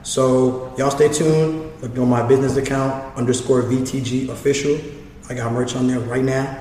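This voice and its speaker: A man in his twenties hosting a casual podcast, talking in quick slangy street speech. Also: mid-range voice, fairly even intonation, warm tone, a little hiss or hum. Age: 20s. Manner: slangy, quick, self-promoting street talk. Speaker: podcast host. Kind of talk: casual chat. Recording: echoey hall, mic set far off.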